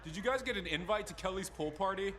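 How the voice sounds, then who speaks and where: A man's voice: high-pitched, expressive, quick pace, fairly bright tone. A man voicing a crude, boastful teenage jock in a visual novel game, brash and slangy.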